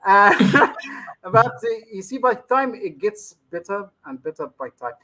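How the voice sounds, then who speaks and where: A man's voice: high voice, very animated, measured pace, crowd noise, low-quality recording. A man from Egypt, a native Arabic speaker with an Egyptian accent, talking as a guest trainer in a casual live interview and often adding "you see". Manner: chatty, keeps adding "you see", laughs easily.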